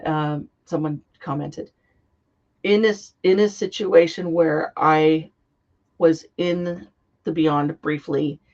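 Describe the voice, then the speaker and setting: A woman guest, slightly hoarse from a cold, explaining in an earnest, heartfelt way. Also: low-pitched, fairly even intonation, slow pace, a little mellow. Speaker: guest psychic. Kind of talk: interview conversation. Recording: dull lo-fi sound.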